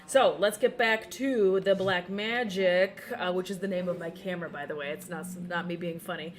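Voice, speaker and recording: A woman's voice: lowish voice, fairly even intonation, normal speed. A woman hosting a casual behind-the-scenes broadcast from a race control tower, talking in an upbeat, chatty way and laughing often.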